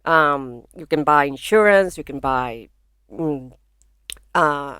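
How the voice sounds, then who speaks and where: A woman's voice: deep voice, lively intonation, slow pace, fairly bright tone, clear, resonant tone, dry recording. A young woman from Venezuela with a Venezuelan accent, a comedian talking frankly and swearing freely as a podcast guest in a bedroom studio. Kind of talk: casual podcast chat. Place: small home studio in a bedroom. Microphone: podcast microphone.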